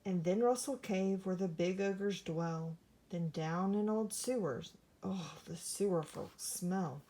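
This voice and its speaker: A woman reads a rhyming storybook aloud for young listeners. Her voice is mellow and soft-edged, and she tells the tale with theatrical, dramatic expression. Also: lowish voice, slow pace. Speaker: storybook reader.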